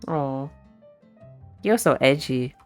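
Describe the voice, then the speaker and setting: A woman's voice: extremely low pitch, lively intonation, takes it slowly, darkish tone. A woman streamer giving casual live commentary on a video game, musing aloud. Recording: game music behind the voice. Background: video game music.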